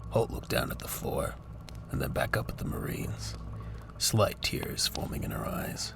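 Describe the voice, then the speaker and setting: Super deep gravelly guy narrating a dramatic story, music behind him. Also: sing-song, unhurried.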